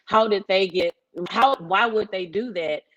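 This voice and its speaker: A woman's voice: mid-range voice, unhurried, a bit bright, muffled recording. A middle-aged woman, explaining things emphatically as a music executive on a workshop panel. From Memphis, Tennessee, United States. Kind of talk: informal workshop presentation.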